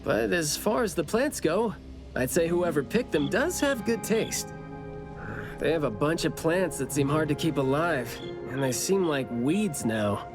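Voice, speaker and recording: An actor playing a chatty, teasing, curious young investigator in a game cutscene, with a breezy, wry manner. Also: male voice, on the high side, expressive, measured pace. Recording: music behind the voice.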